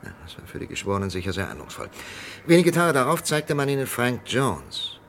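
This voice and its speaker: An actor playing a persistent, probing doctor in a German radio crime drama, with an insistent, questioning manner. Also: male voice, mid-range voice, sing-song, a little fast, fairly bright tone. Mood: probing, controlled. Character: persistent, probing male doctor challenging a death sentence. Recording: faintly noisy vintage radio drama recording.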